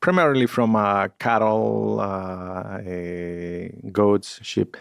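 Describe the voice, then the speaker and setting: A man's voice: lowish voice, steady tone, slow, gravelly, clean tone, dry recording, studio quality. A man, a guest in a podcast interview, talking in a reflective way and searching for words. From Ecuador. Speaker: guest scientist.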